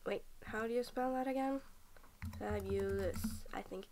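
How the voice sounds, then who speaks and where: A woman's voice: mid-range voice, moderate pace, a little mellow, slight background noise. A young woman from Norway, just woken up and tired, rambling through casual game commentary at her computer, often half-whispering and stopping mid-sentence. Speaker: game vlogger.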